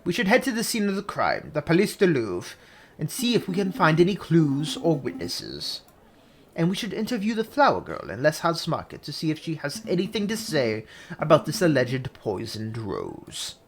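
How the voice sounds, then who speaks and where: A man voicing a sensible, dutiful falcon lawyer with a measured, dry and mildly exasperated manner. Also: a little high, wildly swooping pitch. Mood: reassuring, dutiful.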